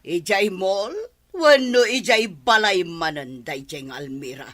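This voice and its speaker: A woman's voice: lowish voice, lively intonation, measured pace. A woman acting a stern, suspicious mother who interrogates her grown son in a radio drama. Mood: suspicious, demanding. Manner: sharp, interrogating.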